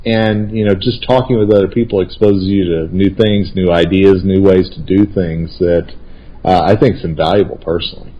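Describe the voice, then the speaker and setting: Friendly, halting man with a lowish voice. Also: steady tone, dark tone, highs cut off. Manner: friendly, halting, with many restarts. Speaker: regular guest and co-host, speaker and consultant. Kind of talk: casual conversational chat.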